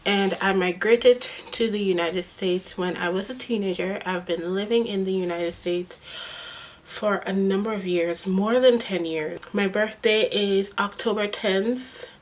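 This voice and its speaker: A young woman from Guyana, talking unscripted to her vlog audience in a slow, earnest, reflective way. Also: medium pitch, low-quality recording. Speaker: vlogger.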